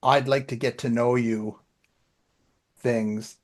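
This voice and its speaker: A man's voice: medium pitch, fairly even intonation, slow. A man chatting as a podcast host in an informal, loosely anecdotal, conversational way. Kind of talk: casual conversational chat.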